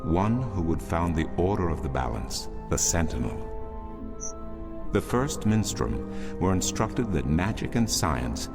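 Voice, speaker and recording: A very deep-voiced, solemn older priest recounts ancient lore with grand, measured gravity. Clear music plays behind this fantasy game figure. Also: male voice, very animated, exaggerated voice. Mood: grave. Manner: measured, portentous, formal. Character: solemn older male temple priest, a storytelling loremaster. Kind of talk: grand scripted fantasy narration.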